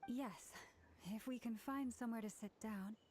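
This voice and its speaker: A woman voicing a gentle, composed young companion in a video game, calm, polite and soothing. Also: fairly high pitch, normal speed, heavy background noise, lightly acted. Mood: calm, curious.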